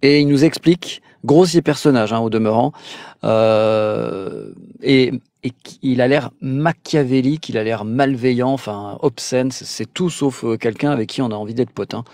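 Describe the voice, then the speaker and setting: A man from France hosts a casual live call-in show, pausing often on fillers and slipping in sarcastic asides. His voice sits at a middling pitch. The sound is dry and close. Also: a little mellow, no room sound. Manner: hesitant, many "euh" fillers, sarcastic asides.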